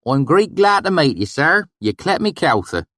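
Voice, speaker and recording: A man's voice: on the high side, very animated, moderate pace, bright, forceful tone, dry recording, clean studio sound, pitched above the performer's own voice. A man voicing a young man who puts on a thick rural dialect to blend in. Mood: folksy. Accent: put-on rural backwoods dialect.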